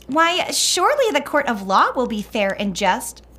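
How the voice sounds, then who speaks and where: A woman's voice: sits high, very animated, normal speed, sharp tone, exaggerated voice. A woman acting a pious, gossipy leader of a Puritan sewing circle in a comedy audio drama, sweetly sanctimonious and theatrical. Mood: pious, fervent.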